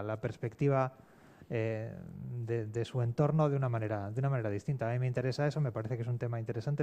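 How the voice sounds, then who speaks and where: A man's voice: mid-range voice, mellow. A man with an accent from Spain, a scriptwriter talking discursively in a panel discussion, repeating words for emphasis. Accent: Spanish from Spain. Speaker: fiction scriptwriter and guest panelist.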